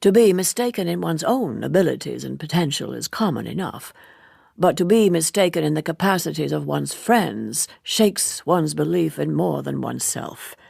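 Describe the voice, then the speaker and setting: Woman voicing a grave, husky older gentleman writer. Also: low voice, animated, no echo, clean studio sound, full character voice. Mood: wounded, grave. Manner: formal, weighty, halting.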